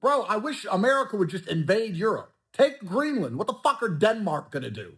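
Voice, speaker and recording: Brief: loud, swaggering male ranter, high pitched, wildly animated. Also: a little fast. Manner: crude, aggressive, brash. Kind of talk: podcast rant.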